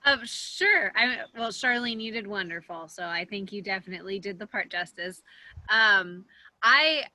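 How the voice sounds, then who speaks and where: Very bright-voiced woman, dry close sound. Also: mid-range voice, lively intonation, normal speed, no room sound. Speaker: actress on a panel. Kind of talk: casual unscripted panel answer.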